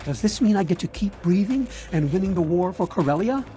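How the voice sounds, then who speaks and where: An anxious male colonel speaks in a high, mellow voice with swooping pitch. He sounds servile and nervous, in video game cutscene style. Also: expressive, a little fast, faint music behind. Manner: obsequious, nervous.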